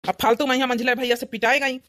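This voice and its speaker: A woman's voice: high-pitched, little pitch movement, racing, a bit bright. A woman voicing a suspicious, worried young village husband with an Awadhi accent, muttering and exclaiming in a comedy cartoon. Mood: earnest, grumbling.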